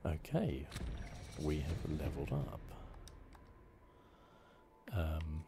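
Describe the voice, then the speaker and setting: A man doing soft-spoken, relaxed, chatty ASMR commentary as he plays a video game. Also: a bass voice, drawn-out words, vocal fry, noisy background. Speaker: gaming channel host. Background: video game ambience.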